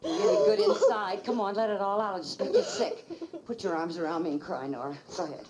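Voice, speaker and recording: A woman's voice: medium pitch, big pitch swings, fairly quick, background noise, lightly acted. An actress playing a brash woman who talks in slang and coaxes a frightened friend. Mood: soothing. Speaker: film character. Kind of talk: film drama acting.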